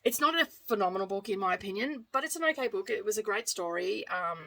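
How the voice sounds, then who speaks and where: A woman's voice: mid-range voice, animated, fast talker, vocal fry, bright, forceful tone. A woman with an Australian accent reviewing books in an informal, rambling way, often correcting herself as she goes. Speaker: book reviewer, vlogger. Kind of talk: casual chat to camera, book review.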